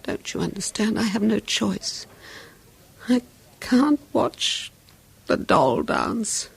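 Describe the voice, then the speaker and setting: An actress playing an anguished wife in a 1970s radio drama, speaking in a pleading, emotional way. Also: female voice, mid-range voice, big pitch swings, slow pace, creaky, breathy edge, heavily acted. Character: anguished wife leaving her husband.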